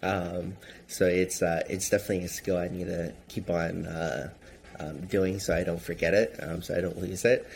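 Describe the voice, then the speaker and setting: Very low gravelly man, hesitant. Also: fairly even intonation, normal speed, a little mellow, slight background noise. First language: Chinese. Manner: hesitant, many fillers and restarts. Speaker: guest, gun group member. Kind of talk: casual interview answers.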